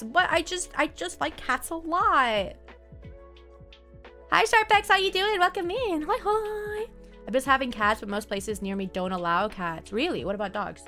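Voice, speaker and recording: Young female streamer, bright and clear voice, rambling chatty delivery with frequent laughter, light music underneath. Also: high-pitched. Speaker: game streamer. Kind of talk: casual chat while reading viewer comments.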